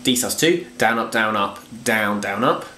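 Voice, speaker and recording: Stuffy-nosed guitar teacher, echoey room. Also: male voice, fairly low pitch, lively intonation, measured pace. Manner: chatty, self-deprecating, counts strums aloud. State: congested from a cold. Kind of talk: casual guitar lesson. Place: home room with bookshelves.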